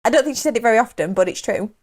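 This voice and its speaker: A woman's voice: a little high, expressive, rapid-fire. A woman co-hosting a comedy podcast, giggly and self-mocking as she tries out accents. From England. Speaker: podcast co-host. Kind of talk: casual comedic chat, trying out accents. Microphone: studio podcast mic.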